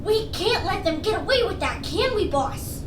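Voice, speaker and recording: Very high, squeaky dim-witted gangster henchman. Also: lively intonation, normal speed. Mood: indignant. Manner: goofy mobster slang, eager questioning. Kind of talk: comic radio theater acting. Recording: roomy, echoing, clearly noisy recording.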